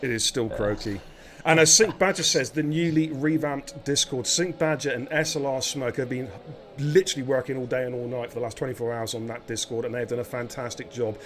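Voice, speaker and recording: A man with a slightly croaky voice commentating a live sim race, chatty and given to repeating himself. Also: medium pitch, brisk, fairly bright tone. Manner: chatty, laughs easily, stumbles and repeats words. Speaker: lead sim racing commentator.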